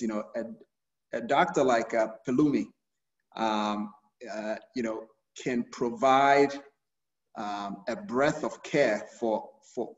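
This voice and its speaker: Man from Ghana, middle-aged, halting talk full of fillers, distant roomy video-call sound. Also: mid-range voice. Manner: hesitant, many fillers and repeated starts. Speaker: urologist giving a health talk. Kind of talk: informal presentation on a video call.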